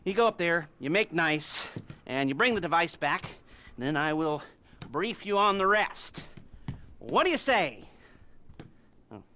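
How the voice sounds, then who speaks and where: Nerdy video game heist planner: high-ish, sharp voice, sardonic, halting and twitchy. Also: sing-song, heavily acted. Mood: wry, instructive. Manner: halting, rambling, nervous chuckles, rhetorical hms. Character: nerdy, twitchy, sardonic male heist mastermind briefing crew. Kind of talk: scripted video game cutscene acting.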